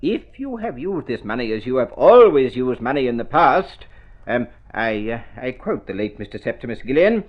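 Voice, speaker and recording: An actor playing a fussy, formal lawyer in an old radio drama, speaking precisely with proper, hesitant phrasing. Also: male voice, medium pitch, big pitch swings, normal speed. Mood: formal, measured. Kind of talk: golden-age radio drama acting. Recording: muffled vintage radio recording.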